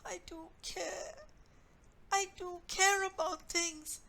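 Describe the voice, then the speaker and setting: Woman as a high, plaintive young girl. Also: fairly even intonation, slow pace, vocal fry, bright, forceful tone, slight background noise, full character voice. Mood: tearful protest. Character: sensitive, earnest young girl, easygoing but hurt.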